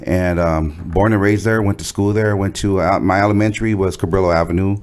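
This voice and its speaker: A man's voice: low-pitched, steady tone, fairly quick, darkish tone. A man in his forties from the Los Angeles harbor area, a podcast guest chatting casually and modestly about his life. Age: 40s. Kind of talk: casual interview chat.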